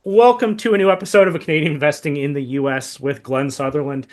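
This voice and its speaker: A man's voice: a little high, steady tone, quick pace, darkish tone, soft background music. Male podcast host, informal and conversational, choppy delivery in a casual interview.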